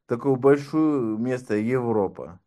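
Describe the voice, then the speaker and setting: A man's voice: mid-range voice, measured pace, warm tone. A man speaking as an interview guest and doctoral candidate, talking in a wry, sardonic way. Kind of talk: casual conversation.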